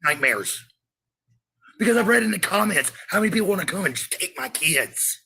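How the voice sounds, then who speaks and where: Bright-voiced man on an angry rant. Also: high voice, big pitch swings, a little fast, creaky, slight background noise. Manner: combative, heavy swearing, repeats phrases for emphasis. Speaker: parent hosting a livestream.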